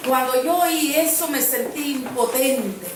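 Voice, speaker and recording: A woman's voice: high-pitched, a little slow, noisy background. A woman preacher giving an earnest sermon, telling a personal story and repeating phrases for emphasis. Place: church sanctuary. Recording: very echoey church from a distance.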